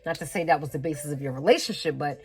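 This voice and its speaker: A fairly low-voiced woman doing a laid-back tarot reading, talking straight and swearing without a second thought. She hammers her points home by repeating phrases and sniffles a little from a head cold, with faint music playing behind her. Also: racing, darkish tone. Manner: frank and blunt, with frequent swearing and laughing asides. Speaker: tarot reader.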